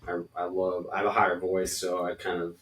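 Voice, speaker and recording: A man who sings in a band chats loosely, circling back and restarting his phrases as he goes. His voice sits a bit low and comes from a step away from the mic. The room around him rings with echo. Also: monotone, brisk, a bit bright. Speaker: band singer. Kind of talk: casual podcast chat. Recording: roomy echo, distant mic.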